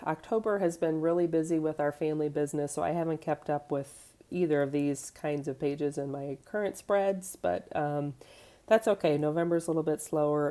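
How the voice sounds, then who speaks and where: Low, mellow woman recovering from a cold. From United States. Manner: chatty, with fillers and small self-corrections. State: getting over a cold. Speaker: vlogger. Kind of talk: casual show-and-tell. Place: home kitchen.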